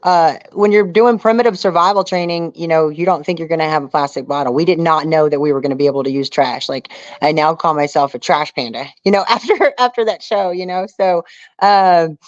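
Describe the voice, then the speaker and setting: A woman's voice: low voice, fairly even intonation, a little fast, no echo. Dull-sounding recording, earnest woman guest. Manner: earnest, peppered with "you know". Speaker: interview guest, reality survival show contestant. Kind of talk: casual interview storytelling. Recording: dull lo-fi audio.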